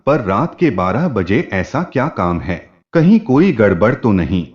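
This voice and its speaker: A caring, inquisitive neighbour woman , read by a man in a storybook family drama. The delivery is emphatic, with big swings in pitch, on dull lo-fi audio. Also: big pitch swings, measured pace, darkish tone. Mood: suspicious.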